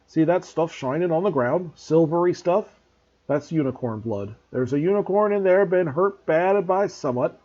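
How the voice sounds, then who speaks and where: A man voicing a huge rough-spoken male gamekeeper, loud and growling with rustic, dropped-letter speech in a husky tone, on a dull lo-fi recording. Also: a little high, normal speed, voice pushed up, natural voice. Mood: earnest.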